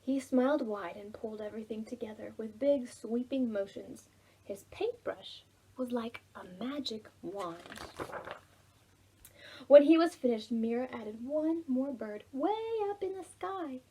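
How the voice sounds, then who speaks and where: A woman hosting a preschool storytime, telling stories to young children in an expressive way, with drawn-out words and soft, hushed phrases. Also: a little high, a little hiss or hum, poor audio. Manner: addresses children directly with simple questions.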